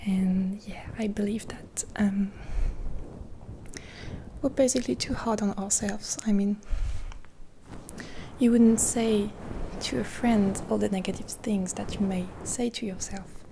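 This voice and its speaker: A caring woman talks in a hushed, partly whispered voice right up against a sensitive mic. Her tone is mellow and warm, and her pace drifts slowly with soft pauses. A faint hiss sits underneath. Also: mid-range voice, steady tone. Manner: gentle, half-whispered rambling with pauses; caring, reassuring. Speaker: ASMR creator. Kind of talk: soft-spoken ASMR roleplay.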